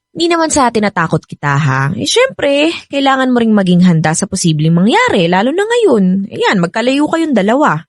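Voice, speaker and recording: Lively, frank Filipina cousin. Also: female voice, on the high side, moderate pace, dry recording, clean studio sound. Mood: frank, cautioning. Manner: animated, frank, chatty. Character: partner's chatty female cousin, frank, protective, friendly. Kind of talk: Filipino radio drama acting.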